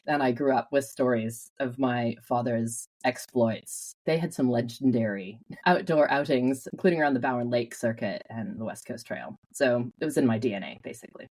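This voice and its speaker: Very low-voiced Canadian woman. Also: normal speed, few pauses, low-quality recording. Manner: animated storyteller, laughs often. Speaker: guest. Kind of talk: casual interview.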